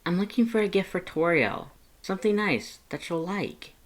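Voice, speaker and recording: Narrator as a curious, deadpan young human, higher-pitched. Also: moderate pace, lightly acted. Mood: flustered. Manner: deadpan, inquisitive.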